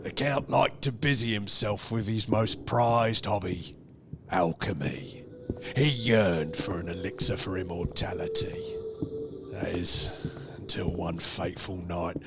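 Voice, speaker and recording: An actor playing a creepy, solemn castle butler in a comedy horror radio play, speaking in an ominous, formal, gravelly drawl. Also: male voice, on the low side, noisy background. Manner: ominous, formal, drawn-out delivery. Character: creepy, solemn male castle butler serving a strange Count.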